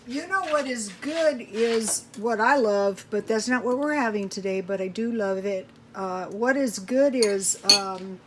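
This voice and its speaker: A woman's voice: fairly high pitch, noisy background. A woman chatting casually to viewers while cooking at home, thinking aloud in a rambling, folksy way. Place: home kitchen.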